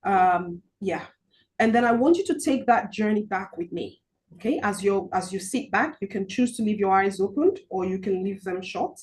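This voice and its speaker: Woman money coach, video-call sound, hesitant and filler-heavy, gentle when guiding a breathing exercise. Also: mid-range voice, poor audio. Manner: halting, many filler ums and restarts; soft, slow guided-relaxation instructions. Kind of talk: online group coaching session with guided visualization.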